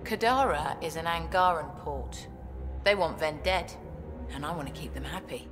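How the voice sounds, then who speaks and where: Deep, imperious outlaw boss. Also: female voice, lively intonation, normal speed, in a large hall, music in the background. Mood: imperious, threatening. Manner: curt, commanding. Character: hard, imperious female outlaw port boss. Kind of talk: video game character dialogue.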